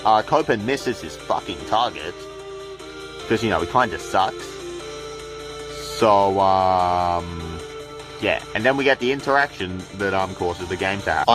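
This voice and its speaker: Male gameplay commentator, low to mid pitch, irreverent and profane, off the cuff, music underneath. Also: lively intonation, normal speed. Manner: sweary, rambling, irreverent. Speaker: video creator.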